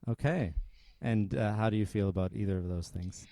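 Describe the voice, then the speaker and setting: A man hosting a casual interview, asking curious follow-up questions with many pauses and uhs. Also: fairly low pitch, dark tone, no room sound. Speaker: podcast host.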